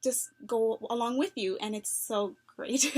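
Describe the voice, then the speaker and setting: A young adult woman answering phone interview questions in an earnest, upbeat way, with many fillers and soft laughs. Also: fairly high pitch, slow pace. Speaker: job applicant.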